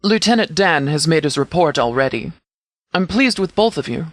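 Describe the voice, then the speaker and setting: A man playing a stern sheriff in a video game, giving formal, measured briefings with authority. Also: fairly high pitch, lively intonation, fairly bright tone, no echo, studio quality. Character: stern, formal sheriff commanding a post-apocalyptic settlement militia. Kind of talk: scripted video game dialogue, military briefing.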